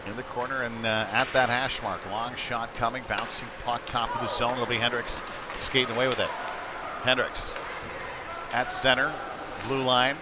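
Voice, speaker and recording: Male hockey play-by-play commentator, mid-pitched voice, conversational and clipped phrasing, live broadcast with clear rink noise behind him. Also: little pitch movement, normal speed.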